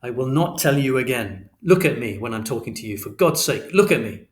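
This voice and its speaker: A man voicing an exasperated middle-aged father who scolds and shouts. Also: medium pitch, moderate pace, natural voice. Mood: stern. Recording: roomy echo.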